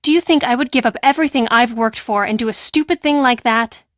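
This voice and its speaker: Woman as a sassy high-pitched teenage hall monitor. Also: fast talker, studio quality, natural voice. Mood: irritated. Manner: sassy, dismissive, emphatic. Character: brash, defensive teenage girl, high school hall monitor. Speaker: voice actor in a video game. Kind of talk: acted video game dialogue.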